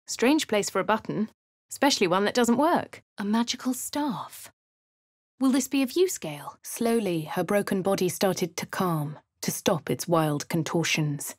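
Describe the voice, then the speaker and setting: Woman, posh English accent, measured, husky, dry studio. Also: medium pitch, no echo. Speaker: player character voice. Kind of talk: game voice lines and first-person narration. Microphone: studio mic.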